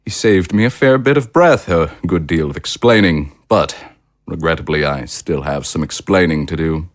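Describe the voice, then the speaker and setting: An actor playing a suave, self-satisfied political leader in an audio drama, with pompous, elaborately polite diction. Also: male voice, a bass voice, normal speed. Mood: self-satisfied, persuasive. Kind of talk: theatrical audio drama acting.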